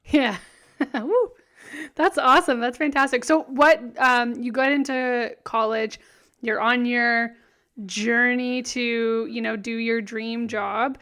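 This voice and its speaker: A woman's voice: fairly high pitch, fairly even intonation, slow, a bit bright, clear, resonant tone. A woman co-hosting a podcast, chatting casually in an upbeat, encouraging way. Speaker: podcast co-host. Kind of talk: casual interview chat.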